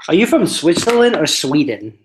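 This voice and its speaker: A man's voice: a little high, very animated, moderate pace, slight background noise. A man chatting casually on a video call with a study partner, laid-back and easily distracted, cracking jokes before getting to coursework.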